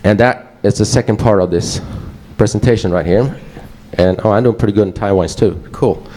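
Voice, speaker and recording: Man with a Swedish accent and a low, warm voice, presenting casually and wryly to a crowd. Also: fairly quick, slight background noise, rough recording. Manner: casual, joking, digressive. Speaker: conference speaker. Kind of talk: presentation talk to a live audience.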